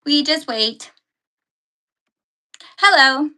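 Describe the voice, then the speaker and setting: A woman's voice: high voice, lively intonation, very slow, bright, forceful tone. A woman hosting a casual live stream, chatting with viewers and answering their comments, laughing often and correcting herself as she goes. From Canada.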